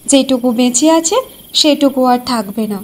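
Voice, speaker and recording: A woman's voice: high voice, normal speed. A woman voicing a concerned, chiding village wife in a cartoon, questioning and fretful. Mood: questioning, worried.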